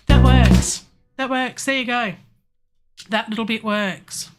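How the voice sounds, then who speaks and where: A middle-aged woman with a hoarse, sore throat talks slowly and unhurriedly in a home studio. She thinks aloud with many pauses and ums. Faint music plays in the background. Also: fairly high pitch, wildly swooping pitch. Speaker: music producer streaming a songwriting session. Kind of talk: casual live-stream talk while working. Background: faint music playback from the session.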